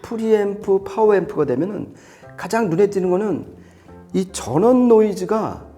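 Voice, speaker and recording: A man's voice: high voice, expressive, moderate pace, warm tone, soft background music. A man reviewing audio gear, explaining it conversationally to a co-presenter.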